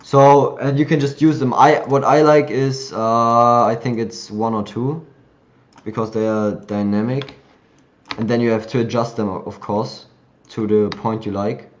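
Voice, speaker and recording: A man hosting a live community call, chatting loosely and thinking aloud as he shows software on his screen. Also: mid-range voice, steady tone, a little slow, highs cut off. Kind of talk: casual screen-share tutorial.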